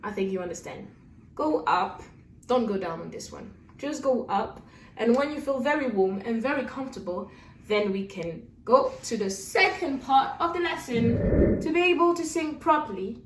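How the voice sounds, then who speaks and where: A young woman with a high voice leads a singing lesson, relaxed and encouraging, often pausing to check in with her students. She sounds a little distant from the mic in an echoey room. Soft instrument music plays faintly behind her. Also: slight background noise. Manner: demonstrates lip trills and sung syllables. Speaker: singing teacher. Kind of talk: singing lesson with vocal exercises.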